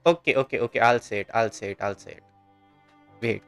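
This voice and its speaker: A man's voice: medium pitch, brisk, a bit bright, clear, resonant tone, dry recording, faint music behind. A man with an Indian accent chatting casually with viewers on a gaming livestream, informal and hesitant, often repeating himself.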